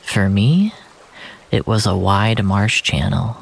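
A narrator reading scripted first-person fiction in a measured, literary storytelling manner. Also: low-pitched, lively intonation, vocal fry, husky. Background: music.

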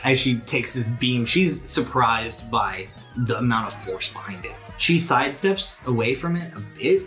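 A game master talks through a tabletop game in an explanatory, conversational way. The voice sits at an ordinary pitch, with faint music behind it. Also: expressive, unhurried, a bit bright. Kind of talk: casual tabletop game narration.

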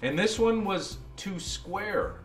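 A man tells a children's story with lively, swooping intonation and a fairly high pitch. His delivery is dramatic and deliberate. The room adds noticeable echo. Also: soft background music. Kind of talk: children's picture book read-aloud.

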